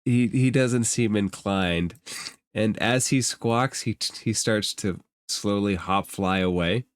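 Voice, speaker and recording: A man's voice: on the low side, slow pace, clear, resonant tone, no echo. Man running a tabletop game, dry sound. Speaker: game master. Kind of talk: narrating a tabletop game, conversational.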